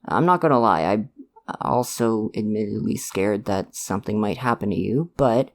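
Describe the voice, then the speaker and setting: A man playing a supportive, gently protective dad in an ASMR roleplay, speaking in a hesitant, earnest, reassuring way to the listener. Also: fairly low pitch, lively intonation, moderate pace. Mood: gentle, concerned. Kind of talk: ASMR roleplay, one-sided conversation with listener.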